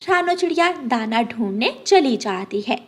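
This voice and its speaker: A woman's voice: on the high side, expressive, moderate pace. A woman reading scripted narration for a children's cartoon story.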